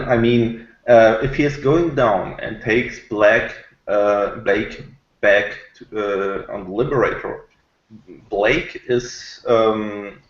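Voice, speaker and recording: A man on a discussion panel, making his points in a hesitant, deliberate, casual way. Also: fairly low pitch. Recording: echoey, dull lo-fi room audio.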